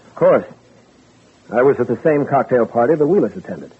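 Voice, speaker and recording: Guilty man playing innocent, very husky, hesitant, vintage radio sound. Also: mid-range voice, animated, a little fast. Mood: startled, guarded. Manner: hesitant, guarded, placating. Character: smooth-talking guilty man feigning loyal innocence. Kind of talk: 1940s radio drama acting. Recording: old radio recording, narrow band.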